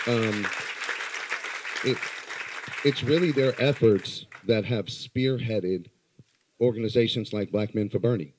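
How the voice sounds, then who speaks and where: A man in his thirties from Los Angeles with a low voice, giving an earnest, halting speech into a handheld mic at a small indoor political meeting. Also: a little mellow. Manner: earnest, halting with many fillers. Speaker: community organizer. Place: small crowded indoor campaign office. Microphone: handheld microphone.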